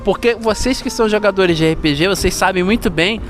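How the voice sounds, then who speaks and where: Host type: Brazilian guy, upbeat, talks to camera, highish pitch, faint music underneath. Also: a little fast, a bit bright. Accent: Brazilian Portuguese. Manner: upbeat, addresses viewers directly. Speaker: channel host. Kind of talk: casual chat with a friend. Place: stairwell of an apartment building.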